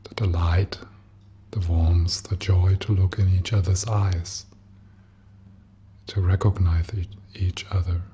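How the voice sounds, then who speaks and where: Low, slow, hushed male meditation guide. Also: little pitch movement, a little hiss or hum. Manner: gentle, hushed, unhurried with long pauses. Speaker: meditation teacher.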